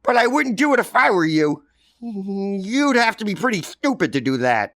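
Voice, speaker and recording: A man's voice: sits high, sing-song, moderate pace, a bit bright, no echo, voice pushed up, full character voice. A man voicing a gloomy young boy in a read-aloud storybook, dry and sarcastic. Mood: wry.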